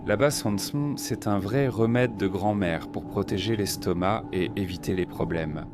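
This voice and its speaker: A man vlogging his travels, chatting with locals and thanking them often as he samples food and drink. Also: on the low side. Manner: chatty, curious, quick to thank people. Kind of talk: casual travel vlog chat. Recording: music playing under the voice. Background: background music.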